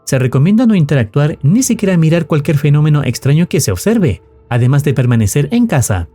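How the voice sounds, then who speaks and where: Male narrator, fairly high pitch, animated intonation, formal dramatic scripted horror recap, faint music behind. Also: brisk.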